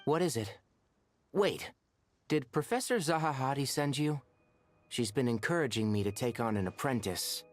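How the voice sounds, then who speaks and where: A woman voicing a composed, authoritative young official in a video game, measured, stern yet patient. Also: extremely low pitch, expressive, no echo. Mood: calm, puzzled. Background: background music.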